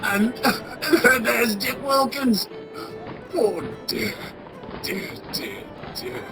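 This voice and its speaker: A woman playing an anxious, sentimental old male miser in a radio play, stammering and halting with emotion. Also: on the high side, over music. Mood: joyful, wistful.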